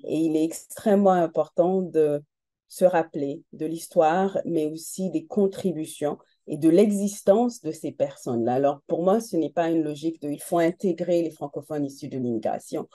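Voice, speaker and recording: A woman speaking as a panelist in an earnest, measured way, with frequent filler sounds. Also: low-pitched, dark tone, dry recording. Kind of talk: panel talk.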